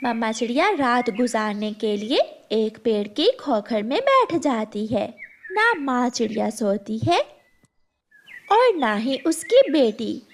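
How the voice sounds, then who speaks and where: A woman narrates a children's tale in a high, animated voice. Her pace is unhurried, as in scripted storytelling. Clear noise is audible behind her.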